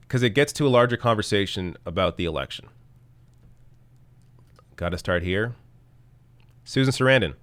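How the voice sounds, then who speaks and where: A man hosting a live political commentary stream, arguing bluntly and reading tweets aloud, with a voice that is going hoarse. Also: on the low side, fairly bright tone, dry recording. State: losing his voice.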